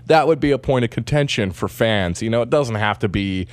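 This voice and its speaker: A man's voice: medium pitch, lively intonation, a little fast, dry recording. A man in a casual podcast chat, talking in a rambling, sarcastic way, restarting his sentences and filling the gaps with "uh" and "like". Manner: rambling, sarcastic, trails off into fillers.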